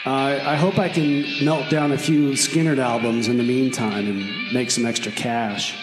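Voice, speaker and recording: A man's voice: mid-range voice, fairly even intonation, moderate pace, close to an everyday voice. A man playing a laid-back, rambling time-travelling rock fan reporting in on a radio comedy. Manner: hesitant, rambling. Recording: echoey hall with loud guitar music.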